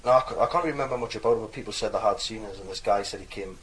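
A young man speaks with a mild Geordie accent in a very deep, low register. He tells his story in a loose, rambling way, and a faint ambient hum sits under his voice. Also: racing, a bit bright, rough recording. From North East England. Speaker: dialect archive speaker. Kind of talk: casual recorded anecdote.

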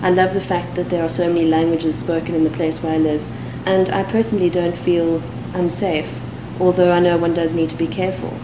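A woman with a Cape Town South African accent, reading a set passage and then talking in a measured, thoughtful way with the odd um. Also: lowish voice. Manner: measured and thoughtful, with occasional ums. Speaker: dialect archive speaker. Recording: echoey room with steady background hiss.

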